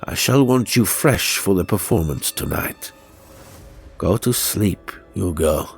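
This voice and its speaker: A man voicing a cold, gloating stage ventriloquist villain in a horror story, with stern, measured and formal speech. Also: low voice, animated, gravelly, faint music behind, heavily acted.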